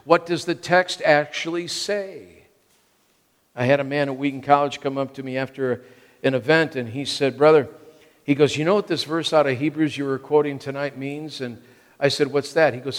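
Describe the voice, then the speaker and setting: An American man in his sixties preaching a sermon in emphatic short phrases, often repeating them for weight. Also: fairly high pitch. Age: 60s. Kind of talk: sermon to a congregation. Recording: long reverb of a large hall.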